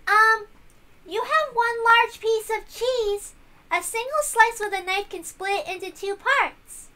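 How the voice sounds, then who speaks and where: A woman voicing an excitable, giggly little girl with a gleeful, exclaiming manner in a visual novel reading. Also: extremely high pitch, slow pace, pitched above the performer's own voice, exaggerated voice. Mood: excited, childlike.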